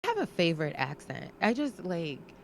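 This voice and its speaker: A woman's voice: on the low side, moderate pace, background noise. A young adult woman co-hosting a casual podcast chat, talking freely and laughing often. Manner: chatty, lively, laughs often. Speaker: podcast co-host.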